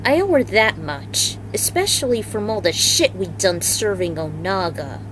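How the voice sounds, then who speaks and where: A woman's voice: medium pitch, animated, a little slow, fairly bright tone, slight background noise, poor audio. A woman voicing a casual, wordy, slangy male action hero in a story reading. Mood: casual, musing. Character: casual, chatty male action hero and would-be film director.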